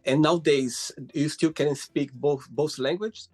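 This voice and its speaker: A man hosting a casual interview over a call, asking friendly, halting questions with many fillers. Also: fairly high pitch, darkish tone, dry recording, faint music behind. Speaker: host.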